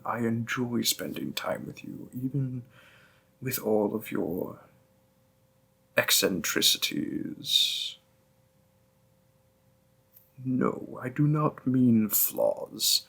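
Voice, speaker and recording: A man playing a gentle, affectionate demon boyfriend in an intimate comfort roleplay, tender and soothing, breathy in places. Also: mid-range voice, heavily acted. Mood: gently firm.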